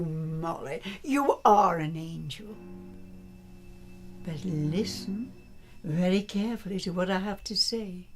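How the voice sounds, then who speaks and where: A woman playing a grand, theatrical veteran radio actress in a drama, with a husky voice and cryptic, condescending manner. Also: medium pitch, a little mellow. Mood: grave warning. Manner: theatrical, condescending endearments, deliberate.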